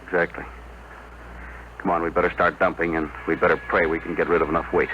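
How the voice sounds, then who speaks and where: A man's voice: deep voice, level tone, fast talker, natural voice. A man acting in a 1950s radio drama as a stern, burdened spaceship captain, with a clipped, commanding delivery. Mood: grim. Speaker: radio actor. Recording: muffled, noisy old radio broadcast.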